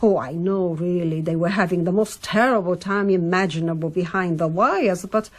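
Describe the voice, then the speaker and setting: An actress playing a proud, defensive middle-aged mother in a radio drama, effusive and insistent, with a husky voice. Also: on the low side, moderate pace, natural voice. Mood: defensive, uneasy.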